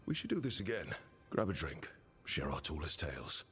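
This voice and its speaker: A man's voice: rumbling low, very animated, normal speed, a bit bright, husky, heavily acted. A man playing an easygoing, inquisitive adventurer in a video game, conversational and dryly playful. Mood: friendly. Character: easygoing, curious male adventurer from an assassin guild. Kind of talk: video game dialogue acting. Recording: music under the dialogue. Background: game music.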